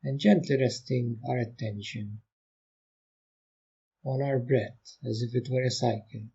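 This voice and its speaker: A man leading a guided meditation, giving gentle, calm instructions with long unhurried pauses. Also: mid-range voice, creaky, husky, muffled recording. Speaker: meditation teacher.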